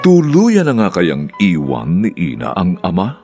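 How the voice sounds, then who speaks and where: A man's voice: sits low, wildly swooping pitch, unhurried. A man narrating and announcing a Filipino radio drama in a solemn, theatrical style. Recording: loud music under the announcer. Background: loud dramatic music.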